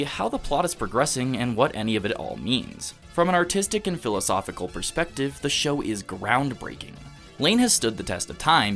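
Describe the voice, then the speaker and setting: A man delivering scripted, analytical video essay narration in a measured, emphatic, rhetorical way. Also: mid-range voice, fairly bright tone. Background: background music.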